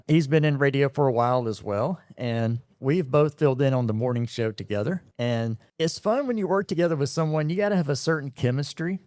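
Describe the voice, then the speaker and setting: Middle-aged radio host in casual storytelling. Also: male voice, medium pitch, no room sound, studio quality. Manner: relaxed storytelling with frequent fillers. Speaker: radio morning show host and operations manager. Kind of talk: casual interview chat.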